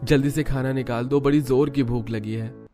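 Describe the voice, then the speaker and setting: A man's voice: medium pitch, fairly even intonation, moderate pace, dark tone, soft background music, natural voice. A man playing an earnest young village farmer, a plaintive son in a cartoon family story. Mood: hungry. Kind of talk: Hindi cartoon story dialogue.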